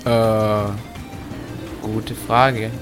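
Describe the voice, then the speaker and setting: A man's voice: fairly low pitch, flat delivery, very slow. A young man in scripted audio drama dialogue, sounding like the sensible peacemaker of a comic group. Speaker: performer playing himself in audio drama. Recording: music bed behind the voice.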